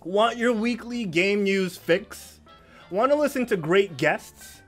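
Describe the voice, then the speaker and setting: A man's voice: high voice, animated, slow pace, a bit bright, faint music behind. A man hosting a casual gaming podcast over a video call, reading out listener reviews with a playful, announcer-like flair. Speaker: podcast host.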